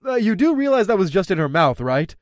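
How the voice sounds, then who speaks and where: A man's voice: high voice, big pitch swings, quick pace, dry recording, clean studio sound, voice pushed up. A man voicing an easygoing, teasing dragon-riding captain in an audiobook, in a casual delivery. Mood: bemused. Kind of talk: audiobook character acting.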